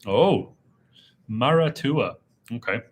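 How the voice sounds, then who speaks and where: A young man with a slight Irish accent, chatting casually on a live stream and reading viewer comments aloud in a relaxed, rambling way. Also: mid-range voice, slow pace, husky. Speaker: travel vlogger hosting a live Q&A. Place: indoors in a dim room.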